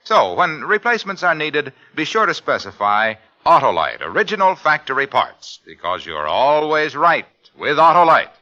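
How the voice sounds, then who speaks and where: A man's voice: fairly high pitch, wildly swooping pitch, normal speed, hardly any pauses, sharp tone. A man reading scripted copy as a commercial and credits announcer in 1950s radio style. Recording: muffled old radio recording, music behind.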